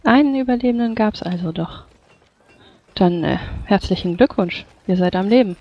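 A woman playing a composed war veteran in a tabletop roleplay, probing with polite, formal, measured speech. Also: mid-range voice, lightly acted. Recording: dull, muffled voice-chat audio. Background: background music.

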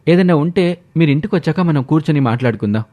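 A man's voice: a little high, lively intonation, quick pace, warm tone, voice pushed up, close to an everyday voice. A man playing a compliant, hen-pecked young husband and son in a family moral story. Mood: pleading.

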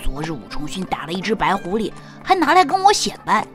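活泼淘气少年，语调起伏大. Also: female voice, mid-range voice, huge pitch swings, brisk, a bit bright, soft background music. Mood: eager, concerned. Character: mischievous, eager young boy, an aristocratic cousin. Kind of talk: Chinese historical audio drama acting.

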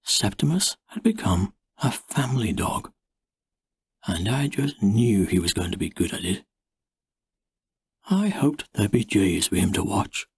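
A man reading a storybook as narrator, in an expressive and measured storytelling manner. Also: on the low side, breathy edge, no echo. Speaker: audiobook narrator. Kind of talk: scripted storybook narration, first-person tale.

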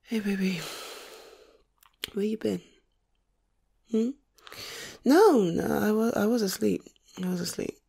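A woman playing a sleepy, tender, playful girlfriend in an ASMR roleplay, speaking softly and coaxingly with a breathy, often whispered delivery. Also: mid-range voice, heavily acted. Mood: sleepy, affectionate. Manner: soft, coaxing, affectionate, unhurried.

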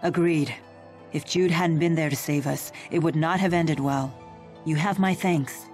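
A proud young spirit woman for a video game. Her voice sits fairly low, and she speaks formally and sternly in measured phrases. Music plays softly underneath. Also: fairly even intonation. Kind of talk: English video game dub acting.

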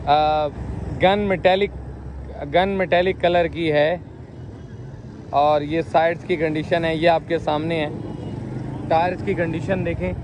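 A man in his thirties walking around a used car market outdoors, showing cars and chatting with owners in brisk, repetitive patter. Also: a little high, steady tone, muffled recording, noisy background. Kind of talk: walkaround car showcase with owner chats.

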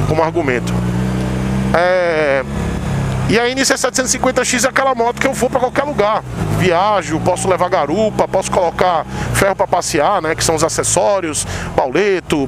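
A man in his forties chatting casually as a motorcycle vlogger while riding through heavy city traffic, thinking aloud and tossing in quick asides to his viewers. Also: sits high. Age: 40s. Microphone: helmet or bike-mounted camera mic. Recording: loud road and engine noise, wind. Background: traffic and motorcycle engine.

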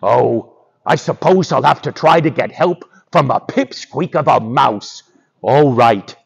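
A man's voice: mid-range voice, lively intonation, unhurried, creaky, husky, highs cut off, exaggerated voice. A man voicing a grumbling, boastful male lion, a bullying king of beasts, for a children's story. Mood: grudging.

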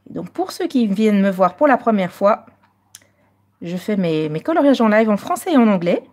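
A Belgian woman hosting a live coloring stream, chatting casually and moving between French and slightly halting English. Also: medium pitch, sing-song, darkish tone.

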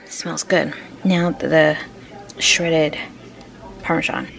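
Lady chatting through a home cooking vlog, lowish voice, noisy kitchen behind her. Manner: chatty, informal, playful wording. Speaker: home cook vlogger. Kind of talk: casual cooking vlog narration.

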